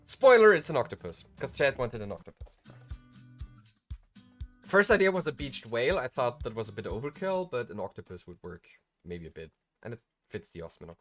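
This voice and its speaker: Man rambling casually, lively pitch swings. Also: a little fast, soft background music. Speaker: streamer and game developer. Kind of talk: casual live-stream commentary while working.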